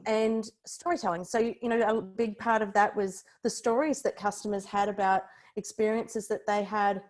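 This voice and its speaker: A woman's voice: mid-range voice, level tone, moderate pace, a little mellow. A woman in her forties with an Australian accent, talking in a chatty, loosely structured way as an interview guest. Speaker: podcast guest, personal branding expert. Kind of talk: conversational interview, recounting own career.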